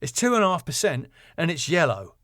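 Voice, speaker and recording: A man's voice: a little high, big pitch swings, brisk, fairly bright tone, dry recording, studio quality, pitched above the performer's own voice. A man voicing a laid-back, cheeky, streetwise British bloke who talks in a blunt, matey, slangy way. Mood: breezy, cheeky.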